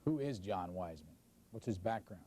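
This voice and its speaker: A male interviewer asks short, direct questions in a lowish, warm, mellow voice. A faint hiss sits under the recording. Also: lively intonation, normal speed.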